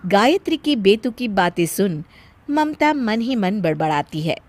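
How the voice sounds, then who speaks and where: A woman's voice: mid-range voice, big pitch swings, unhurried, noisy background. A woman reading scripted narration for a story as its narrator.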